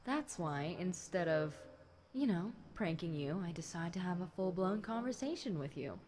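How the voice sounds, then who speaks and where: A woman's voice: lowish voice, lively intonation, moderate pace, darkish tone, natural voice. A woman voicing a bored, chatty demon girl in an audio roleplay, casual and teasing, talking straight to the listener. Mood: relaxed, friendly. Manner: casual, teasing, conversational with light chuckles. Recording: clear background noise.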